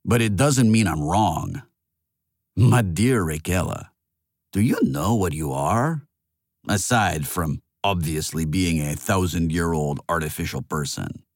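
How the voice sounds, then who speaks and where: Ancient artificial king for an audiobook, played by a male narrator: pompous, lecturing, hugely animated pitch swings, close dry sound. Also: unhurried, no room sound, exaggerated voice. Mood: assured, needling. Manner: theatrical, lecturing. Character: forceful, pompous male artificial monarch, centuries old, morally certain.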